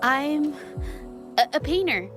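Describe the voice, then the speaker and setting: A woman's voice: high-pitched, expressive, slow pace, over music. A woman voicing a shy, earnest young painter in a video game, speaking politely with nervous hesitation. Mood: nervous, hesitant.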